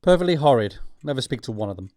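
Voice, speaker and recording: An actor playing an earnest, easily flustered young gentleman, proper and emphatic, with an upper-class English accent. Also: medium pitch, sing-song, quick pace, natural voice. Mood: bored, dismissive. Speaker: actor in an audiobook production. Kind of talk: stage comedy acting.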